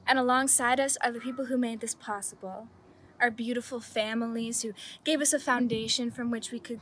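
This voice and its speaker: A woman's voice: on the high side, slight background noise. A young woman giving a self-mocking, comic graduation speech, recorded on a camera mic outdoors in a home garden. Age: young adult. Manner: deadpan self-mocking humor. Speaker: senior class speaker.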